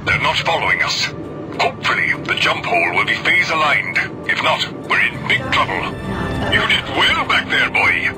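A man voicing a steady, protective space pilot who speaks in a calm, formal way over radio chatter in a game. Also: a little high, moderate pace, loud music behind the voice. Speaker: wingman ally in a space game.

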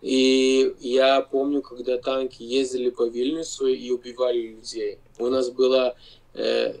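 A Lithuanian-accented man in his forties, a non-native speaker, argues insistently over a video call, stopping to search for words. His mid-pitched voice has a gravelly, creaky texture. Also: level tone, darkish tone, rough recording. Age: 40s. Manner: insistent, halting non-native speech with pauses. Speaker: video-chat guest. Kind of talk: heated video-chat argument.

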